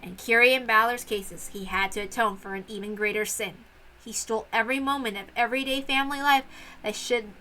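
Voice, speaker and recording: A woman's voice: mid-range voice, bright, forceful tone, low-quality recording. A woman narrating a visual novel as a playthrough host, with casual asides.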